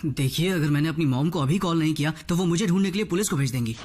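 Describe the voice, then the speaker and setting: A man's voice: on the high side, a little mellow, no room sound, natural voice. An actor voicing a nervous, talkative sixteen-year-old boy in a cartoon dub. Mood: flustered. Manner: stammering, fast, eager. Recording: dubbed with music underneath.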